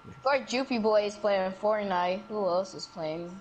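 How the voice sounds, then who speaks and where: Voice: medium pitch, normal speed, muffled recording, a little hiss or hum. A player in casual online game chat, talking defensively in slang with some swearing.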